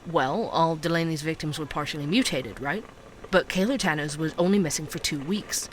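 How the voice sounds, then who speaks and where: A man's voice: on the high side, normal speed, slight background noise, natural voice, poor audio. A man playing a curious, earnest investigative podcaster in an audio drama, with a polite, questioning way of talking and music behind him. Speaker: podcast host investigating a case. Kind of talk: audio fiction dialogue.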